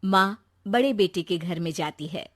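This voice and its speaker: A woman's voice: fairly low pitch, a little slow. A woman narrating a scripted moral family story as a storyteller.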